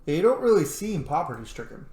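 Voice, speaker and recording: A man's voice: a little high, sing-song, fast talker, a little mellow. A man giving casual, informal gameplay commentary, reacting aloud to game characters. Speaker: let's play streamer. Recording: echoey room.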